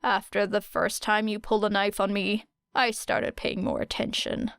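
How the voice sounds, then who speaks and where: A woman's voice: medium pitch, level tone, fairly bright tone, smooth, dry recording, lightly acted. A woman voicing a dry, teasing teenage boy. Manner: dry, muttering, unhurried. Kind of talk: audiobook character voice.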